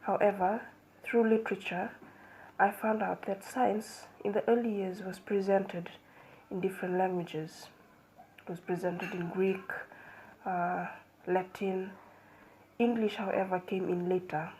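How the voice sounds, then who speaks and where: A woman's voice: mid-range voice, little pitch movement, a little mellow, a little hiss or hum, low-quality recording. Woman giving a seminar talk. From Fiji. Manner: measured, hesitant with fillers and restarts. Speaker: student presenter. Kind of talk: seminar presentation.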